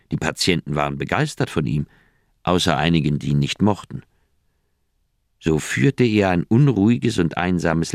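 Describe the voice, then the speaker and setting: Deep, creaky troubled older doctor. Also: male voice, no room sound, clean studio sound, heavily acted. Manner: deliberate, reflective storytelling with dramatic pauses. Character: troubled older male Swiss surgeon, reflective and uneasy. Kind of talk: German radio crime drama acting.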